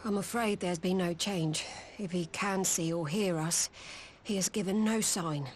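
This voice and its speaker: Woman acting lowish-voiced, deferential attendant. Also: unhurried, a little hiss or hum, close to an everyday voice. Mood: deferential, regretful. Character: respectful attendant reporting gently to a lady. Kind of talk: video game cutscene acting.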